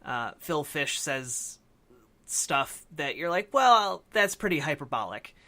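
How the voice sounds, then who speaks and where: A man's voice: fairly high pitch, big pitch swings, takes it slowly, bright, forceful tone. A man co-hosting a podcast, discussing film casually and thinking aloud as he explains. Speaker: podcast co-host.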